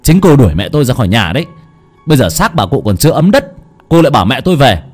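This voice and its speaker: A man voicing an irritable husband who scolds loudly. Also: a bass voice, a little fast, breathy edge, soft background music, voice pushed down. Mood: irritated scolding. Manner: snappish, scolding, loud.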